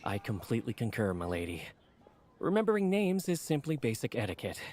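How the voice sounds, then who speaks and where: Earnest, animated young editor. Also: male voice, mid-range voice, fairly quick. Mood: earnest flattery. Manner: courteous, formal, earnest. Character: polite, earnest young male book editor. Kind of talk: anime game voice acting. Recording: game music behind the voice.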